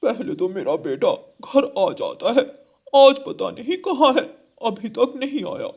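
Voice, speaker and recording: Cartoon crow dad male performer: extremely high pitch, doting, plaintive, emotional. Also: very animated, unhurried, gravelly, a little mellow, breathy edge, full character voice. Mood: worried. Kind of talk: children's animal cartoon voice acting.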